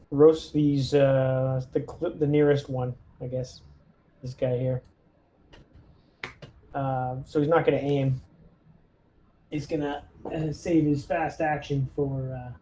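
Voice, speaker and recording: A man's voice: mid-range voice, warm tone, slight background noise, rough recording. A man playing a tabletop role-playing game, chatting casually and jokily describing what his characters do. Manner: jokey, narrates his characters' moves in third person.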